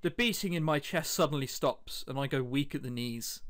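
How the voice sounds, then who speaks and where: A man's voice: medium pitch, normal speed, background noise. A man reading a visual novel aloud as a let's player, adding wry, chatty asides and sometimes stumbling over his words. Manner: wry, chatty asides between read lines. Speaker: let's play host. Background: background music.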